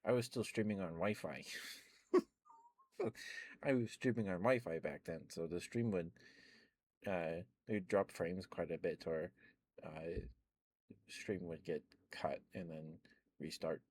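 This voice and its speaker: A young man, an ASMR streamer, rambling softly in casual chat with frequent chuckles. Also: sits low, slow, pauses often, dry recording. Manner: soft-spoken, rambling, chuckles often.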